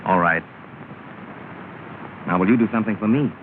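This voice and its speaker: An actor playing a jealous, sulky well-off suitor in a 1930s film, with a clipped, haughty manner. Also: male voice, on the low side, normal speed, phone-quality sound, natural voice. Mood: lovesick, sulky. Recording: scratchy, thin, noisy 1930 film soundtrack.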